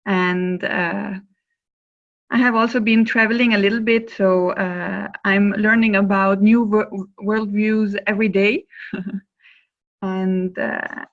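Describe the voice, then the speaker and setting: A woman's voice: medium pitch, steady tone, takes it slowly. A woman from Italy introducing herself on a group video call, chatty with fillers. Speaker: course participant. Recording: dull call audio.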